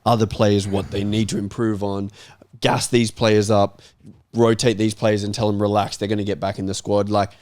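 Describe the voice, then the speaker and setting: A man talking casually and emphatically on a football panel, colloquial and quick to swear. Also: on the low side, flat delivery, darkish tone. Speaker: football panel show regular. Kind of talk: casual opinionated football discussion.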